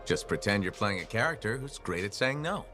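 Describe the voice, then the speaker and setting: An actor playing an easygoing freelancer hero in a video game, friendly, sympathetic and lightly wry. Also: male voice, on the low side, big pitch swings, brisk, sharp tone, faint music behind. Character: kindly, easygoing male freelancer player hero. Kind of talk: video game dialogue acting.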